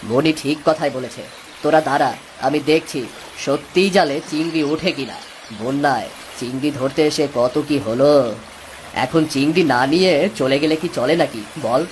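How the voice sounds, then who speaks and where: A man's voice: on the high side, moderate pace, a little hiss or hum, close to an everyday voice. A man playing a kind, honest village fisherman father in a Bangla cartoon, speaking earnestly. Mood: caring.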